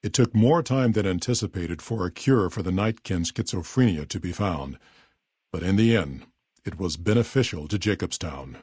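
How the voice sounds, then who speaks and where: An actor playing a weary, level-headed super mutant town leader in a video game, with a deep, gravelly, husky voice and a blunt, measured, authoritative manner. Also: male voice, lively intonation, a little mellow, no room sound, studio quality. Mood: somber, narrating. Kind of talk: video game character voice lines.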